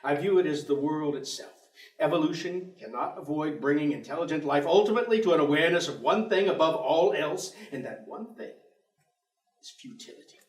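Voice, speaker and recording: A man's voice: a little high, lively intonation, brisk, lightly acted. A man on stage playing a bitter, articulate atheist professor who longs for death, in a theatrical declamatory delivery. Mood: cold conviction. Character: bleak, bitter, intellectual atheist male professor longing for death. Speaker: stage actor in a play. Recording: echoey theatre stage, distant microphone.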